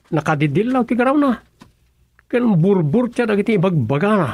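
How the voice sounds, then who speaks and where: Village councilor, practical, concerned, Ilocano accent, slightly high voice, radio comedy drama. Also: male voice, sing-song, normal speed, darkish tone, slightly rough, dry recording, exaggerated voice. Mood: matter-of-fact. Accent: Ilocano Filipino. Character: male village councilor, practical, concerned about neighborhood order.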